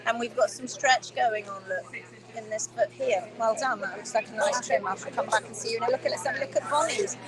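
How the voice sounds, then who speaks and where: Woman host, higher voice, emphatic teacher, phone-recorded workshop stream. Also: brisk, background noise. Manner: emphatic, reads viewer comments aloud. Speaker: hoof-trimming workshop host. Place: indoor workshop room.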